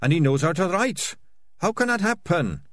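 A meek, earnest little male rat voiced by a man in a storybook reading. The voice sits raised and mild, mumbling gently, full of fragile trust. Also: medium pitch, lively intonation, moderate pace, dry recording, voice pushed up, lightly acted. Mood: dejected.

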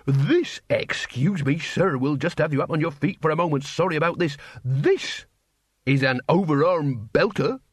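A man's voice: lively intonation, normal speed, breathy edge, no echo, clean studio sound. A man voicing an exasperated older male crook teaching a young thug, scolding and theatrical. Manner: scolding, theatrical, climbing high in pitch. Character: exasperated older male street-crime tutor.

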